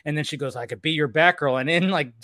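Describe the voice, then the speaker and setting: A man with a slightly high voice talks through a recap as a co-host. His delivery is casual and rambling, with quoted lines dropped in conversationally. The sound is dry, with no room echo. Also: fast, pushed and bright. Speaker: podcast co-host. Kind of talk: casual episode recap commentary.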